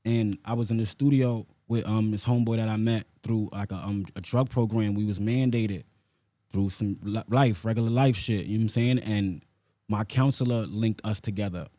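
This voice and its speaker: Low, mellow New York man. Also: steady tone, moderate pace, dry recording. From South Bronx, New York. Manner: street slang with frequent "you know what I'm saying" tags. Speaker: rapper being interviewed. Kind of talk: casual conversational interview.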